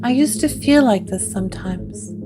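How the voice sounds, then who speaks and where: A woman's voice: fairly high pitch, animated, moderate pace, natural voice. A woman voicing an ancient, haunted woman who speaks in a fragile, faltering way. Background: guitar music.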